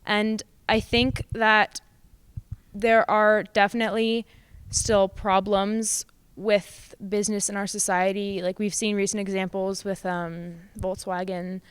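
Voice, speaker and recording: Very clear, smooth teenage girl, dry sound. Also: mid-range voice, flat delivery, slow pace, no room sound. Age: late teens. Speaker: student actor being interviewed. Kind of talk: casual earnest interview answer.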